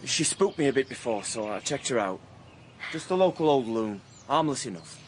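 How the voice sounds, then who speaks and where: Lively, cheeky young man played by an actor. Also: medium pitch, moderate pace, slight background noise, close to an everyday voice. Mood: easygoing. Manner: colloquial, bantering. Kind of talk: radio drama dialogue.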